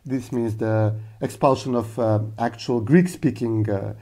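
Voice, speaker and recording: A man with a dark, mellow voice speaks in casual podcast talk. He halts often and fills his pauses with uh and um. He tells his stories in an earnest, searching way. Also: medium pitch. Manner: halting, full of uh fillers; earnest storyteller. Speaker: podcast co-host.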